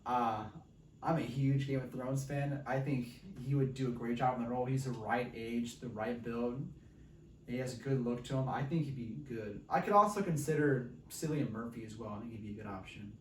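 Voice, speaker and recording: A man's voice: mid-range voice, moderate pace. A man hosting a podcast, chatting casually about films. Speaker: podcast host. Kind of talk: casual film discussion. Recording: roomy, distant mic, faint noise.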